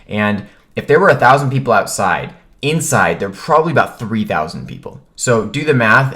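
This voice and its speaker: This is an American man with a deep voice, talking as a vlogger would. He recounts his own experience casually and conversationally. His phrasing is informal, often dropping into short, clipped sentences. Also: a bit bright. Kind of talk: casual first-person storytelling.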